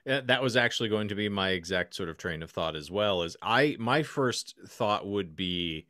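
A man with a low voice hosts a casual podcast discussion from home. He talks in long, formal phrasing with dry humor, close on the mic in a dry room. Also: lively intonation, moderate pace, bright, forceful tone, no echo. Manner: wordy, formal vocabulary with dry joking. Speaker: podcast host. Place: home room, remote video call. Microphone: close microphone.

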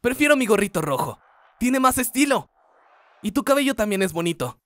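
A performer voicing a cocky, excitable young boy rapper in a cartoon fandub, boastful and exclamatory. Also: mid-range voice, brisk, close to an everyday voice. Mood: cheerful, boastful.

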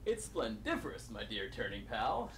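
A man's voice: on the low side, wildly swooping pitch, moderate pace, slight background noise. An actor playing a pompous male property developer in a video game, with flowery speech and an echoey recording. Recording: echoey, like a shower.

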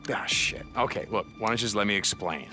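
An actor with an American accent playing a gruff, weary biker drifter in a video game, with a gravelly voice and a defensive manner. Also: male voice, on the low side, fast, bright, forceful tone, soft background music. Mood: tense, guarded. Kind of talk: naturalistic video game acting.

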